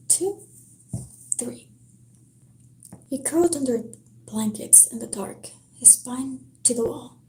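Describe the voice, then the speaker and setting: A woman with a French accent speaks slowly in a slightly high, soft, mellow voice. She chats with her live-stream audience in an easygoing way and reads a book aloud. The sound is roomy and echoey, picked up through a home setup. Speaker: livestreamer reading a novel aloud. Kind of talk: casual live-stream chat and book reading. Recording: roomy echo.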